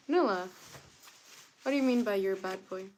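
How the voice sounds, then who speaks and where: A woman's voice: mid-range voice, takes it slowly, a little mellow, slight background noise. A young woman from Canada hosting a casual live stream, greeting viewers by name and answering their chat comments. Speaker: livestreamer.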